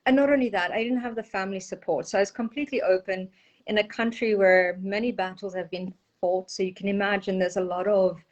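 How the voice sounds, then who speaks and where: A woman's voice: medium pitch, steady tone, brisk, muffled recording. A woman in her forties from South Africa, with a South African accent and Portuguese as her first language, chatting as an interview guest over a video call, with frequent ums. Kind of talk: casual interview chat.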